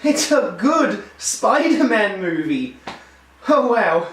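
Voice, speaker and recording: A man with a high voice that has gone hoarse delivers a wry, upbeat film review. He sounds set back from the microphone, and the room adds a noticeable echo. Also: big pitch swings, slow pace, a bit bright, a little hiss or hum. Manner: wry, enthusiastic critique. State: hoarse, losing his voice. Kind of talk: scripted video review. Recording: roomy echo, distant mic.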